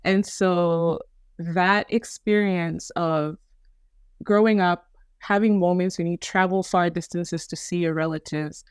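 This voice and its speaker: A woman's voice: lowish voice, smooth, dry recording, studio quality. A woman from Kenya, a young adult founder, telling her story reflectively in an interview, joining remotely from home. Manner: reflective, storytelling with frequent restarts. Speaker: guest, company founder. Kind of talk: conversational interview. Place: home in Denver, remote call.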